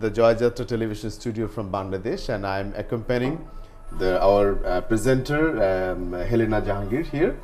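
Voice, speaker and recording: A man's voice: on the low side, fast talker, a little mellow, soft background music. A man hosting a TV interview, asking formal questions with hesitant fillers. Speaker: TV presenter.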